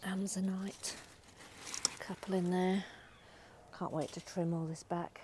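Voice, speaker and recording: A middle-aged woman chatting casually while she works her vegetable plot outdoors, her voice a little croaky. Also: lowish voice, flat delivery, unhurried, noisy background. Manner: chatty, down-to-earth, talks while working. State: slightly croaky. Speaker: allotment gardening vlogger. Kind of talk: casual chat to camera. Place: outdoors in allotment and back garden. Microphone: phone camera held while walking.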